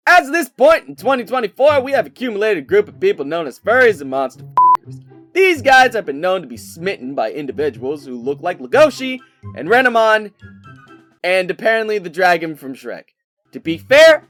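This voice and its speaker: A woman game reviewer giving irreverent, scripted comedic narration in an animated manner. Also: fairly low pitch.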